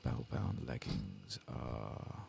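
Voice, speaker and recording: Soft-spoken, very creaky man. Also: extremely low pitch, big pitch swings, slow. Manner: murmuring, often dropping into whispers. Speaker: streamer playing a video game. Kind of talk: soft-spoken ASMR game commentary, muttering to himself.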